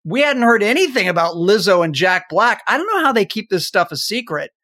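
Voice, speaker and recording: Male podcast co-host, noticeably high voice, wry and chatty, informal panel discussion. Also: very animated, a little fast, pushed and bright. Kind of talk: casual panel chat about a TV show.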